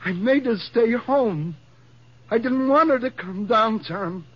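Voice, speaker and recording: An actor playing a guilt-stricken, dazed husband in halting, anguished 1950s radio drama style. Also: male voice, very high voice, expressive, breathy edge, exaggerated voice. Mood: anguished, guilty. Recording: dull vintage 1950s radio recording.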